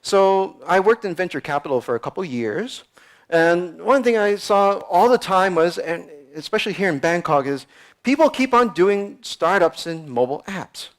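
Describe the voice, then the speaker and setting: Guy on a conference stage, kinda high voice, chatty talk, keeps repeating words. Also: animated, normal speed. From United States. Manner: conversational, repeats words, acts out quoted voices. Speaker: startup founder giving a talk.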